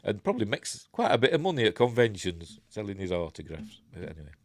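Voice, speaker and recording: A man's voice: fairly low pitch, very animated, quick pace, no room sound, studio quality. Congested Yorkshire man reading geek news. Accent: Yorkshire English. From Yorkshire, England. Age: older. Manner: reads articles aloud with wry, blunt off-the-cuff asides. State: congested, stuffy nose. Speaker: host of a geek news stream. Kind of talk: casual live-stream news reading with asides.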